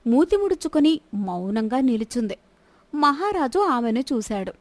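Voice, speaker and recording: A woman's voice: high voice, very animated, measured pace, no echo. A woman narrating a scripted story as its storyteller.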